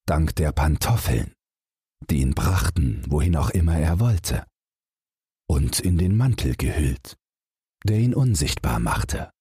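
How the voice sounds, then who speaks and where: A man narrating a bedtime fairy tale as an audiobook reader, in a measured, dramatic storytelling manner. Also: extremely low pitch, lively intonation, warm tone, husky, no room sound, studio quality.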